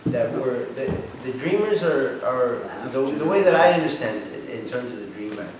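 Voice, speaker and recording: A man explaining informally in a group discussion, halting and repeating words, on a distant mic in a large room. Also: medium pitch, very animated. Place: large indoor arts-center room. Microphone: distant room microphone. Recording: very echoey room, distant mic, faint noise.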